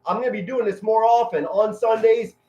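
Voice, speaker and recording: This is a man with a high voice doing excitable sports play-by-play for a live stream. He talks like a devoted fan, with colorful, dramatic turns of phrase and weighty pauses. The audio is echoey and somewhat dull and lo-fi. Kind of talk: loud, excitable fan commentary mixed with chat.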